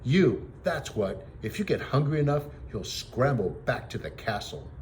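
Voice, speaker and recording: A man voicing a streetwise, gruff but soft-hearted orphan boy in rough street talk, in a storybook reading. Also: mid-range voice, sing-song, moderate pace, a little hiss or hum, pitched above the performer's own voice, close to an everyday voice. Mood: irritated.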